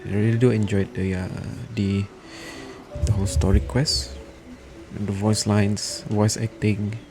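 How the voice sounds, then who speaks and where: A man casually commenting on his gameplay in halting, brief remarks. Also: fairly low pitch, level tone. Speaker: gamer reacting to a playthrough. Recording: loud game music behind. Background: game music.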